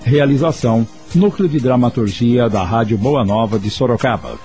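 A man's voice: medium pitch, normal speed, dark tone, soft background music. Formal Brazilian male radio announcer. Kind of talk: scripted radio credits announcement.

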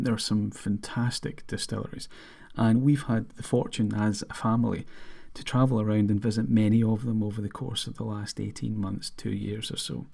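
A middle-aged man with a Scottish accent, hosting a podcast monologue in an earnest, measured way. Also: lowish voice, gravelly, warm tone. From Scotland. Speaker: podcast host.

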